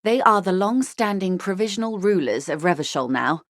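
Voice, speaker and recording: An actress playing a worldly, genteel corporate negotiator in a video game, speaking in a dry, ironic, measured and articulate way. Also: female voice, medium pitch, animated, no echo, lightly acted. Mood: wry, detached. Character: worldly, wry corporate negotiator woman, coolly detached and genteel. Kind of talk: scripted video game dialogue.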